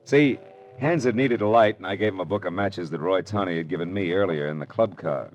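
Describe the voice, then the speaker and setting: An American actor playing a hardboiled, world-weary private detective in 1940s radio drama style, clipped and colloquial. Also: male voice, deep voice, brisk, a little mellow, slight background noise, close to an everyday voice. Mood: wry, grim. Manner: clipped, colloquial tough-guy delivery. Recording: dull old radio recording, music behind.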